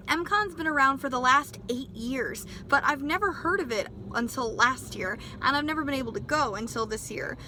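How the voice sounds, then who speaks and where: Bright-voiced woman in her twenties. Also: on the high side, a little hiss or hum. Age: 20s. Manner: chatty and self-deprecating, laughs at herself. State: recovering from a sinus infection, voice not back to normal. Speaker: cosplay vlogger. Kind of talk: casual vlog chat.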